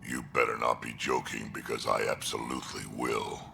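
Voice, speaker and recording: An actor playing a gruff, aggressive alien clan warrior in a video game, blunt and menacing. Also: male voice, little pitch movement, moderate pace, background noise, full character voice. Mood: menacing relish. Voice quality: deep, gravelly.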